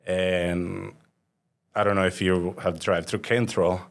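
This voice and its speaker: Male guest, thirties, Honduran accent, deep voice, casual unhurried storytelling with halting phrases. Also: little pitch movement, vocal fry. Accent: Honduran Spanish. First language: Spanish. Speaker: podcast guest, restaurateur.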